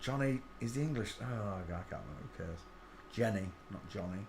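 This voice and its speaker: A man hosting his own channel, giving brash, scoffing commentary over video clips, with quick asides. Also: lowish voice, lively intonation, a little mellow, slight background noise.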